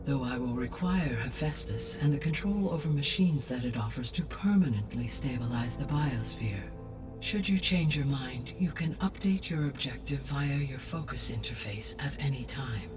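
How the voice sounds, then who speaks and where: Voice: medium pitch, expressive, music in the background, natural voice. Formal, distant artificial intelligence, voiced by an actor. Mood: composed, helpful. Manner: measured, formal, precise. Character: calm, benevolent female-named artificial intelligence overseeing Earth. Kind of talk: formal scripted game dialogue.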